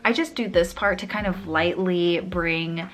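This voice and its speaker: A woman's voice: on the low side, fairly even intonation, measured pace, faint music behind. Chatty young woman, a bit sniffly. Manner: chatty, rambling, trails off mid-sentence. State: a little sniffly. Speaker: beauty vlogger. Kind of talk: casual makeup tutorial chat.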